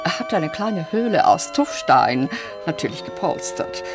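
A woman's voice: sits low, sing-song, a little slow, exaggerated voice. An actress playing a fretful, theatrical English lady in a radio drama, plaintive and dramatic. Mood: explaining grandly. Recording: prominent music behind the voice.

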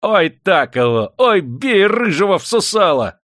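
Man acting an excitable, bellowing factory master. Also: high voice, a little slow, no room sound, clean studio sound, pitched above the performer's own voice, full character voice. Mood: excited, gleeful. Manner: bellowing, crowing exclamations. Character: excitable, domineering 18th-century male factory owner, gleefully cruel. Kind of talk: dramatised audiobook character voice.

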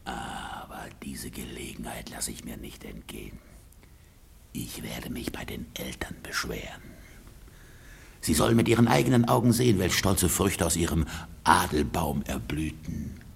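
An actor playing a disgruntled, blunt, plain-spoken peasant husband in a German radio play. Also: male voice, a bass voice, unhurried, creaky, slight background noise, exaggerated voice. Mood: scheming, muttering. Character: disgruntled rich peasant husband, blunt, muttering, henpecked by noble in-laws.

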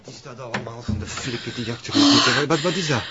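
An actor playing an evasive, edgy junkie who turns pushy, with a Flemish accent, in a crime drama. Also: male voice, mid-range voice, fast talker, crowd noise, lightly acted. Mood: evasive, uneasy. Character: evasive, edgy young male junkie, pushy when cornered. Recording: dull sound with loud background noise.

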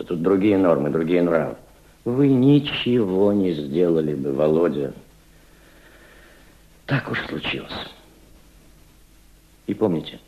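An actor playing a kindly, thoughtful diplomat in a Soviet radio play, with measured theatrical delivery. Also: male voice, low-pitched, vocal fry, exaggerated voice. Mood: consoling, somber. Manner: theatrical, measured, addresses his companion gently. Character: kindly middle-aged Soviet diplomat, fatherly, thoughtful, resolute. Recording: muffled archival radio drama recording, music behind.

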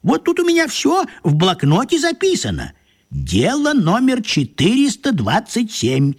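An actor playing a fussy, apologetic, absent-minded wizard in a children's radio play, hesitant, rambling and fussily polite. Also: male voice, high-pitched, wildly swooping pitch, a little slow, breathy edge, heavily acted. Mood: fussy, reassuring.

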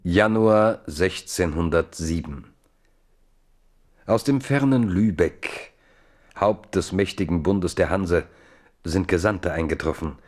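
A man with a low voice narrates a historical radio play. His delivery is measured and literary, clearly scripted storytelling.

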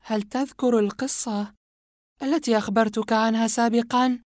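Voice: mid-range voice, fairly even intonation, normal speed, darkish tone, dry recording, studio quality, voice pushed up, close to an everyday voice. A narrator voicing a scornful young woman with a secret. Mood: mocking. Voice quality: mocking, scornful. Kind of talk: audiobook horror reading.

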